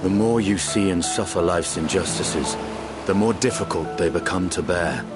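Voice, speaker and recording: Man doing a deep brooding warrior, grim n measured, out for vengeance, loud music under it, game cutscene. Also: close to an everyday voice. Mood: brooding, bitter. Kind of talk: video game cutscene acting.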